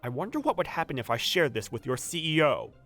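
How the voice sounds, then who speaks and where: A man's voice: on the high side, sing-song, quick pace, fairly bright tone, faint music behind, heavily acted. An actor playing an earnest, protective young male manager who narrates a romcom manga dub in first person. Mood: steady narration. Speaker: narrator and lead character.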